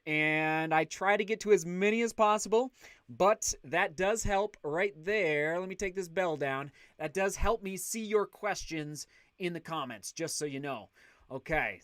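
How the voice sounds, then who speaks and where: Upbeat, loud-voiced man hosting a livestream. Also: sits high, no echo, clean studio sound. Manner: upbeat, chatty, reads out viewer comments. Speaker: livestream host. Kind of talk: casual livestream chat.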